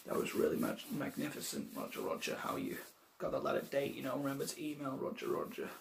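A young man hosting a spoof news show, soft-spoken in a mock newsreader style. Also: medium pitch, monotone, fast, vocal fry, echoey room, distant mic, slight background noise. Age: young adult. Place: small room indoors.

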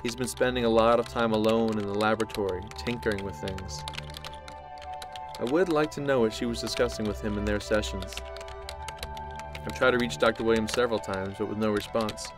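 An actor playing a grave, concerned male scientist in an audio drama, speaking in a measured, formal way. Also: fairly low pitch, fairly even intonation, over music, natural voice. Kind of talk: audio drama acting, opening with a dictated log.

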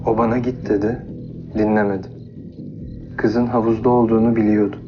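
A man's voice: lowish voice, flat delivery, brisk, lightly acted. An actor playing a lovesick, hurting man in a TV drama, confiding plainly and with regret. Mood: heartbroken. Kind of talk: TV drama acting. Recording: dull audio under clear music.

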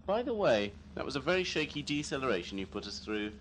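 A man's voice: mid-range voice, sing-song, quick pace, noisy background, close to an everyday voice. A man playing a curt, sarcastic spaceship captain in a science-fiction audio drama. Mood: stern, sarcastic. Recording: amateur 1980s drama tape with music.

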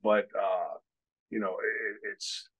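Husky-voiced older man telling a story. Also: lowish voice, fairly even intonation, slow pace, a bit bright. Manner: candid, outraged, many fillers and restarts. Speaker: podcast host, former wrestler. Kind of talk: storytelling in an interview. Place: hotel room, indoors. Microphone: video call, webcam.

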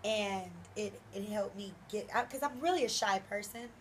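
A woman's voice: medium pitch, normal speed, slight background noise. Young woman answering radio questions. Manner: relaxed, plenty of fillers, agreeable. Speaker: recording artist and radio personality. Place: small radio studio. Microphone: studio boom mic.

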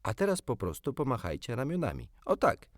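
A man's voice: mid-range voice, wildly swooping pitch, brisk, dry recording, studio quality, voice pushed up. A man voicing a cocky, carefree magical boy from a storybook, boastful and exclaiming. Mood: encouraging.

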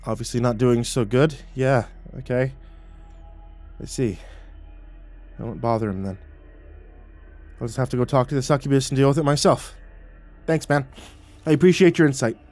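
A man's voice: mid-range voice, moderate pace, pauses often, a little mellow, faint music behind, natural voice. A man voicing an anxious, grumbling, foul-mouthed man in an eagle's body, with slangy, groaning delivery, in a narrated story. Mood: dejected. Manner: groaning, sputtering, slangy complaining.

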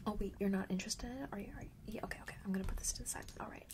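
A young woman playing a friendly witch perfume seller in an ASMR roleplay, whispering softly and breathily close to the microphone in a casual, slangy, reassuring way. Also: medium pitch, very fast, natural voice. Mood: gently coaxing. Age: young adult. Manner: casual, slangy, gently coaxing. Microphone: close large studio microphone.

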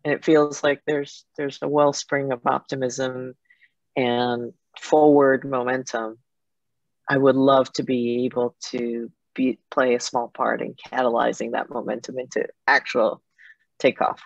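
Creaky-voiced middle-aged woman on video call. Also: extremely low pitch, little pitch movement, measured pace, dry recording. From Japan, with years in Germany and Italy. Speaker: interview guest, foundation president. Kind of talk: conversational interview answers, personal storytelling. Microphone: computer mic on a video call. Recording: dull video-call audio.

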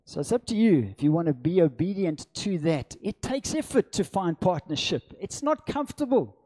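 A man's voice: on the high side, expressive, moderate pace, dark tone. A middle-aged man preaching a sermon, emphatic, repeating short phrases and drawing out the stressed words, speaking into a handheld microphone. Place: church hall with a seated congregation. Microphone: handheld microphone on stage.

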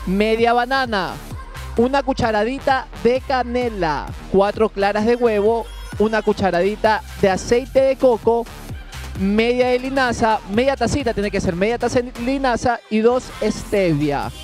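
A woman reading recipe ingredients in a brisk voice-over. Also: a little high, a little mellow, faint music behind. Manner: brisk listing.

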